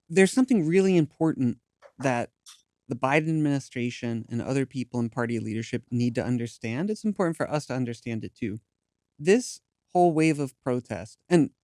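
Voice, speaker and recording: A man's voice: mid-range voice, darkish tone, no echo, studio quality. A man in his thirties, an activist guest in an interview, speaking earnestly and emphatically with some stumbles. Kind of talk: impassioned interview talk.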